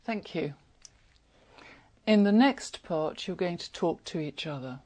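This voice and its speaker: Husky-voiced woman examiner. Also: on the low side, lively intonation, darkish tone. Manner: measured, reads instructions evenly. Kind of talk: reading exam prompts.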